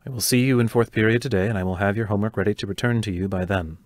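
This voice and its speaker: Man playing a stern, strained schoolteacher, low and dry. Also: steady tone, very fast, dry recording, lightly acted. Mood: brisk, businesslike. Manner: formal, clipped, controlled. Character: strained, stern male schoolteacher trying to keep order. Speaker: actor in an audio play. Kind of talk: audio drama acting.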